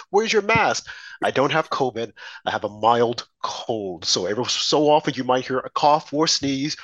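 A man co-hosting a political talk show, hoarse from a mild cold, telling rambling, animated stories. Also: mid-range voice, dry recording, highs cut off. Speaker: podcast co-host.

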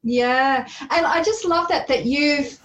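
A woman hosts a podcast in a lively, enthusiastic way, laughing easily between questions. Her voice sits a little high for a woman. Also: takes it slowly. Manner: enthusiastic, chatty, laughs often. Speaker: podcast host. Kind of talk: casual interview chat.

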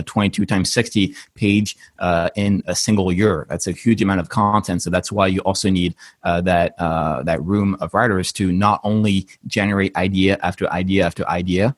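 A man from France with a French accent, a TV drama writer explaining things in a measured way on a panel webinar. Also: sits low, dry recording, studio quality.

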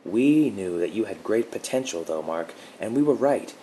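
A man voicing a sweet-talking female dark guardian spirit, soothing and gently mocking. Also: lowish voice, lively intonation, normal speed, a little hiss or hum, close to an everyday voice. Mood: possessive.

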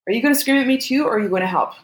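A woman's voice: fairly high pitch, rapid-fire, reverberant, pitched above the performer's own voice, natural voice. A woman voicing a desperate, apologetic male lover who pleads urgently, as in an audiobook. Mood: desperate, pleading.

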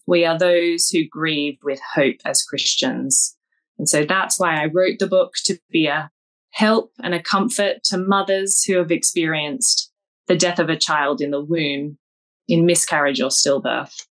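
A woman with an Australian accent, a guest author giving calm, measured, personal answers in an interview. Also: sits low, poor audio.

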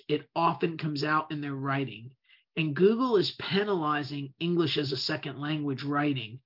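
A speaker whose first language is Italian, giving a casual talk on running a web business, stretching out key words for emphasis and often restarting phrases. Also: mid-range voice. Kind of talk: casual presentation. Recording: dull lo-fi recording.

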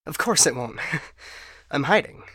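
A man's voice: on the high side, very animated, measured pace, pushed and bright, breathy edge, dry recording, clean studio sound, heavily acted. A man playing a broken, paranoid ship AI in a science fiction audio drama, stammering and getting stuck repeating words. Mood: fearful, hiding. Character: frightened, broken male ship AI, paranoid and unstable.

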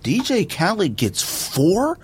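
A man's voice: sits high, wildly swooping pitch, slow, slight background noise. A man in a heated, casual debate about his views, talking in slang, insisting and repeating himself for emphasis. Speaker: podcast host.

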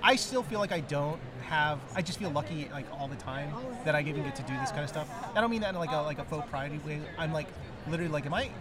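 A man chatting in a rambling, laughing, animated way with lots of fillers as a podcast guest. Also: a little high, quick pace. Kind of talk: casual chat. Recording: crowd noise behind. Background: crowd chatter.

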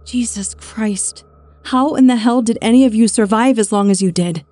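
Brief: woman reading bitter older spinster, profane, biting, raised and sharp. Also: a little high, moderate pace, dry recording, close to an everyday voice. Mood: furious. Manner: profane, cutting, snapping.

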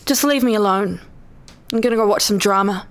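A woman's voice: mid-range voice, brisk, natural voice. A woman playing a bitter, sarcastic, combative wife in a radio play, accusing and complaining. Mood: hurt, angry.

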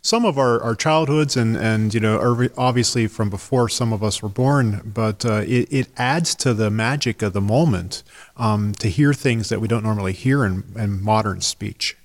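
A man with a deep baritone voice who produces radio dramas, speaking in a hesitant, casual interview style with many pauses. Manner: hesitant, many fillers and restarts, chuckles.

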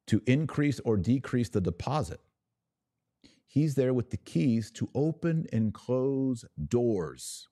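A man with a low, mellow voice, recorded close and dry. He speaks as a religious commentator hosting his own show. His delivery is emphatic and instructive, laying out points for listeners like a teacher. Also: no echo. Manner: emphatic, didactic, explaining by analogy.

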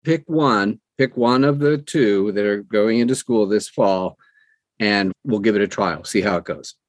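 Man in his 60s, lowish voice, close dry sound, folksy chatty interview guest telling stories. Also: unhurried, no room sound, poor audio. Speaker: interview guest, audiobook narrator. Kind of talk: conversational interview, storytelling.

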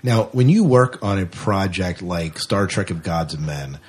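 Low, husky male interviewer. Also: expressive, measured pace. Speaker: podcast interviewer. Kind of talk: conversational interview questions.

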